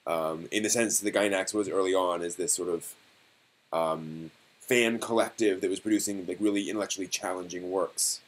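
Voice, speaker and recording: Man thinking aloud, halting delivery. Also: low-pitched, fairly even intonation. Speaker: live stream host. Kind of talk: casual live stream discussion.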